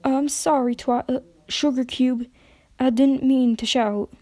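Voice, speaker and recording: Woman playing a folksy Southern farm mare, higher pitched. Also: steady tone, a little slow, dark tone, no echo. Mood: apologetic. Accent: American Southern. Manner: folksy, emotional, plainspoken. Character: earnest country farm mare, loyal and plainspoken.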